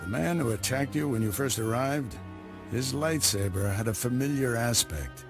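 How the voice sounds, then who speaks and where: An actor playing a grave older Jedi master who gives orders in a measured, commanding way. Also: male voice, medium pitch, lively intonation, close to an everyday voice. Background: game score music.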